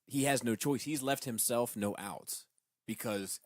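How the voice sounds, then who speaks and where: A man's voice: medium pitch, unhurried, dry recording, studio quality. A man co-hosting a podcast, talking casually and earnestly about comic books, with some swearing. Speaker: podcast co-host.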